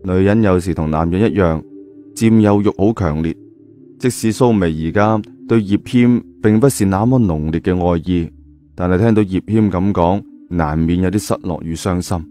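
A man's voice: sits low, normal speed, mellow. A man narrating an audiobook in a dramatic, colloquial storytelling style. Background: music.

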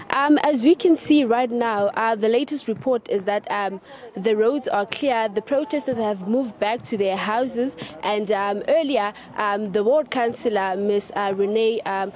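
High-voiced young South African woman field reporter. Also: a little mellow. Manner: halting, hesitant delivery. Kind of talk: live news report. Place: outdoors in open grassland. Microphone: handheld reporter microphone.

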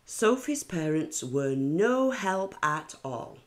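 A middle-aged woman reading a children's picture book aloud with expressive narration. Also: deep voice, takes it slowly. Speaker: children's story reader.

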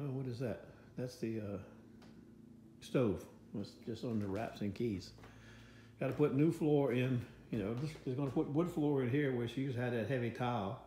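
An older American man talks casually to his phone, wandering from point to point in folksy, plain-spoken style. The sound is echoey, with faint noise behind his voice. His pitch sits in the middle range for a man. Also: mellow. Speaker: vlogger. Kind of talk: casual rambling walk-through commentary. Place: inside a house under renovation, later outdoors. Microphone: handheld phone. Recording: echoey rooms, background noise, handheld.

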